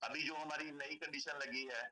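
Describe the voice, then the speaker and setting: A man with a hoarse throat giving a persuasive sales pitch for a charity donation scheme, explaining it step by step and repeating key points. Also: fairly even intonation, moderate pace, sharp tone.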